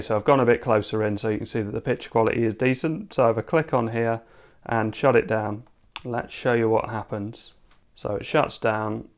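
A man casually narrating a hands-on tech demo at home, thinking aloud with plenty of fillers. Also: medium pitch. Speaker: tech video host. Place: home room, at a dining table.

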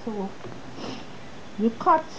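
A fairly high-voiced woman with a cold gives a casual step-by-step sewing tutorial. She talks straight to the viewer, chatty and easygoing, with a faint hum of room noise behind her. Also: lively intonation, extremely slow, darkish tone, muffled recording. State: has a cold, sniffling.

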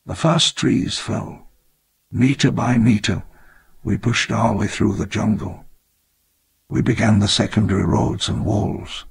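An actor playing a wistful elderly park founder, narrating his memoir in measured, reflective storytelling. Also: fairly low pitch, creaky, slightly rough, exaggerated voice. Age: older. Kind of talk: scripted memoir narration in a game.

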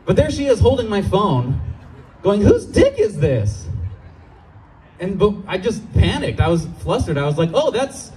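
Animated young male stand-up comic. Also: a little slow, slight background noise, poor audio. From Los Angeles. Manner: animated, acts out quoted people in high voices. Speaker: stand-up comedian. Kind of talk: stand-up comedy set. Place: outdoor brewery stage. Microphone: handheld mic.

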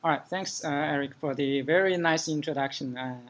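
A young man from China with a Chinese accent, giving a modest, halting technical talk at a user group meeting. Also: on the high side, fairly bright tone. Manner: modest, hesitant restarts. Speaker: conference speaker. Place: meeting room.

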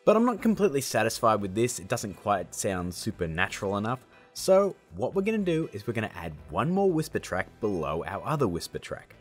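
Animated husky man hosting a tutorial. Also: on the low side, fairly quick, hardly any pauses, faint music behind. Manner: upbeat, chummy, addressing viewers as guys and gang. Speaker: tutorial host. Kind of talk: casual how-to tutorial narration.

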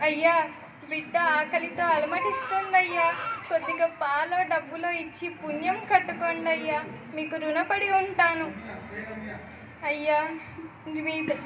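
A woman's voice: extremely high pitch, background noise. A woman voicing a destitute, pleading woman in an audio drama, crying and begging as she talks. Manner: tearful, pleading, wailing. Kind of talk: melodramatic audio drama acting. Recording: background music under voice.

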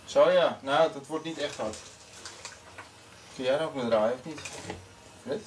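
A man's voice: mid-range voice, measured pace. A man chats casually about technical things as a radio amateur. He speaks hesitantly and repeats himself. He sounds a little away from the microphone, with faint noise. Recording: slightly noisy, distant microphone.

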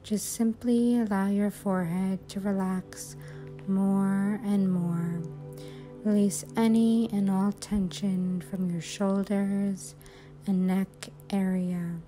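Female meditation guide: very slow, even delivery, soothing, measured phrasing, music underneath. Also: medium pitch. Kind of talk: guided sleep hypnosis, affirmations.

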